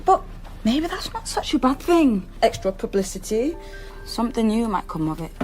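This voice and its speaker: An actress playing a gentle, tentative young live-in nanny in a naturalistic radio drama. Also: female voice, on the high side, big pitch swings, normal speed, music in the background, poor audio. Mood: sympathetic, tentative. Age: young adult.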